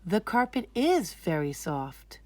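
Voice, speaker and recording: A woman playing a patient, gently correcting mother in a children's storybook, explaining and instructing. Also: on the low side, very animated, slow pace, no echo.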